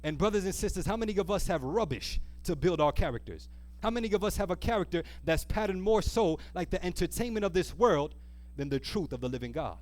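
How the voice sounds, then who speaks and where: A man's voice: high-pitched, lively intonation, brisk, darkish tone. A man preaching an earnest sermon, reading scripture and putting rhetorical questions to listeners, stretching key phrases for emphasis. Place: live church service.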